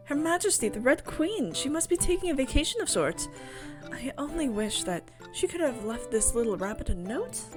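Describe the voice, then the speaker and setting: A woman voicing an anxious, polite rabbit courtier in a visual novel, fretful and hurried. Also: sits high, voice pushed up.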